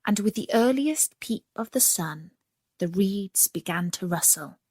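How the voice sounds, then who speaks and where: Slow, husky woman storyteller. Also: medium pitch, lively intonation, darkish tone, dry recording. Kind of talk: scripted children's story narration.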